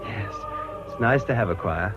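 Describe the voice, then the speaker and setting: A man plays a mild parish clergyman in a radio drama. His voice is lowish, courteous and gentle, on a dull recording with faint music. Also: expressive, normal speed, close to an everyday voice. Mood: polite, pensive.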